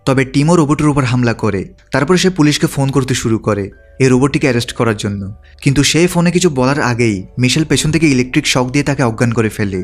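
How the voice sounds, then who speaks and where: A man reading a scripted film recap as a storytelling narrator. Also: mid-range voice.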